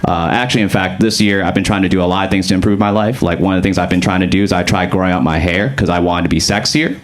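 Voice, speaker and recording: A young man from Boston with a deep voice, doing a casual stand-up comedy set with lots of fillers. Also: fairly even intonation, quick pace. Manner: casual, conversational delivery with frequent fillers. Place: comedy club stage. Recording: comedy club with clear audience noise.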